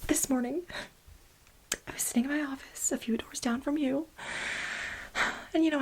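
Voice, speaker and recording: A woman playing an aggrieved, manic, overworked research assistant in a soft-spoken, whispered ASMR role play, with halting, dramatic complaints. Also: mid-range voice, lightly acted. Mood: indignant, defensive. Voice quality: breathy whisper.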